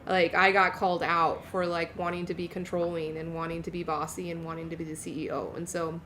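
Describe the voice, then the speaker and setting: A woman's voice: lowish voice, little pitch movement, a little fast, a bit bright, a little hiss or hum. A woman host in a casual group chat, making her point with emphatic repetition. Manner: emphatic, repeats points for weight.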